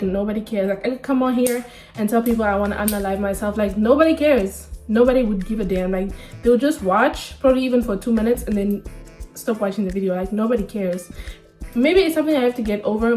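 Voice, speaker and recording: A woman's voice: medium pitch, dark tone. A woman in her twenties from Namibia, chatting candidly and casually to camera in a sit-down vlog, full of slang. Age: 20s. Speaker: vlogger.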